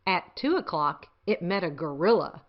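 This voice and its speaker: A woman's voice: on the low side, animated, moderate pace, roomy sound, highs cut off. A woman hosting a children's storytime, reading aloud expressively and chatting enthusiastically with young listeners. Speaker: children's storytime host and reader.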